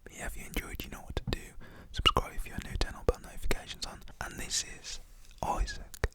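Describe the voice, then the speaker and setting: Whispering young man. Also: brisk. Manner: rambling, full of "like" fillers; whispers throughout. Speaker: storytelling vlogger. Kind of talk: whispered storytelling.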